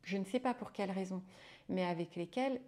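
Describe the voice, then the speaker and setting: A woman's voice: mid-range voice, fairly quick, a little mellow. A woman, a midwife turned hypnotherapist, talking in a casual interview with many fillers and restarts.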